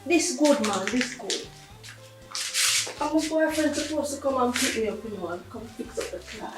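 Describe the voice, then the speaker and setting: A woman's voice: high voice, expressive, normal speed. An actress with a Jamaican accent playing a young woman plotting a roadside scam, chatting in casual patois. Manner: casual patois, switches to a put-on polite voice. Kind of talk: low-budget film dialogue. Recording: echoey, distant with loud music.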